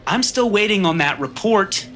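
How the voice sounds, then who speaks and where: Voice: medium pitch, animated, moderate pace, heavy background noise, close to an everyday voice. An actor playing a smug, demanding, whiny young office boss in a scripted audio drama, dramatic and exasperated.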